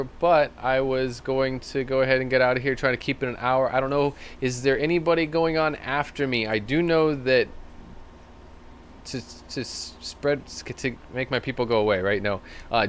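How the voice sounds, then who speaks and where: A man with a slightly rough, tired voice, chatting loosely as he hosts a live stream. His voice sits at a middling pitch, with a faint hiss of background noise under it. He rambles easily and thanks viewers one by one. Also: a bit bright. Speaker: livestream host. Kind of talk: casual live-stream chat reading comments.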